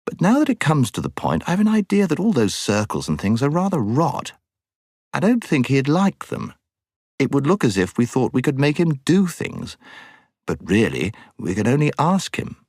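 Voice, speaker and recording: A man's voice: medium pitch, wildly swooping pitch, normal speed, a little mellow, no room sound, clean studio sound, voice pushed up. A man voicing a solemn, know-it-all schoolboy with an English accent in an audiobook. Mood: earnest, solemn. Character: earnest, slightly bossy English schoolboy. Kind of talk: audiobook character voice.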